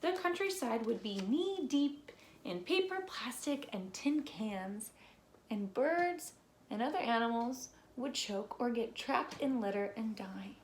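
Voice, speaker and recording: Female storybook reader, expressive and deliberate, unhurried delivery, echoey recording with faint background noise. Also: on the high side. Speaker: read-aloud presenter. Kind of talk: expressive children's storybook read-aloud.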